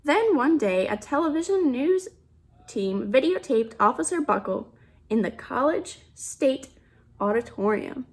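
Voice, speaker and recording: A woman's voice: high voice, sing-song, a little slow, breathy edge. A woman reading a picture book aloud to children, expressively, with simple asides and questions about the pictures.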